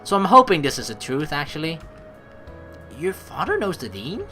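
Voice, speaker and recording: A woman plays through a game aloud with a bright, forceful voice. Her pitch swings widely as she playfully narrates and rambles, with faint music underneath. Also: big pitch swings, moderate pace. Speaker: let's play host. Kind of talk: casual game playthrough commentary mixed with reading narration aloud. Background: game background music.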